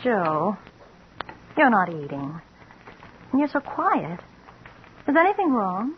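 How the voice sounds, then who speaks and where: Gentle, anxious radio-drama wife. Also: female voice, medium pitch, sing-song, slow. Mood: concerned, reassuring. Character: gentle, anxious ailing wife, trusting and affectionate. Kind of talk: 1950s radio drama acting. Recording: muffled, hissy vintage radio recording.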